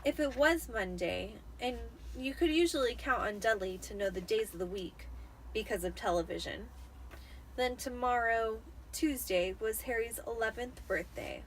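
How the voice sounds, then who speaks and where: Tipsy, high-voiced woman narrating a story. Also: moderate pace, poor audio. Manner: expressive storytelling with occasional stumbles. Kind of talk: dramatic audiobook-style narration. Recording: noticeable background noise.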